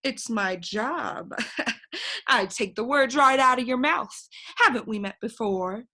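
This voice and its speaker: A mischievous male bird from a storybook, cackling and teasing in a loud, slow, gleeful voice, as a woman puts him on. His pitch sits a little above a normal woman's reading voice, and he draws his words out as he mocks. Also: very animated, full character voice.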